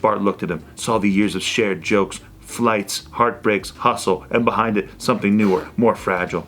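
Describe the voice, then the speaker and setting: A man's voice: on the low side, steady tone, normal speed, fairly bright tone. A man giving an amused, theatrical reading of fan fiction at a table read. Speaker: reader of the story narration.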